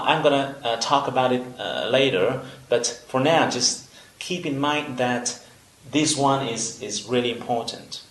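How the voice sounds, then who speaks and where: A man from Vietnam with a Vietnamese accent, a native Vietnamese speaker, teaching an English lesson in a deliberate, explanatory way with pauses between phrases. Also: mid-range voice, fairly bright tone. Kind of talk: instructional video lesson. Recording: roomy, echoing room sound.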